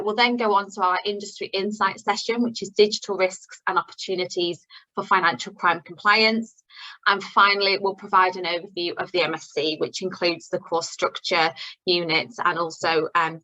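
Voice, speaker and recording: A woman's voice: on the low side, level tone, moderate pace, bright, forceful tone, poor audio. A woman hosting an online event, welcoming attendees in a friendly way with frequent fillers. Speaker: webinar host. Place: online webinar.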